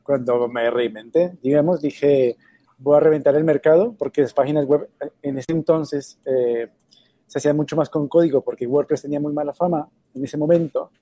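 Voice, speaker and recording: Man in his thirties, interview guest, mellow relaxed voice, dry close sound. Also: fairly high pitch, moderate pace, dry recording. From Colombia. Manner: relaxed, storytelling, swears casually. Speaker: guest, web designer. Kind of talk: casual interview.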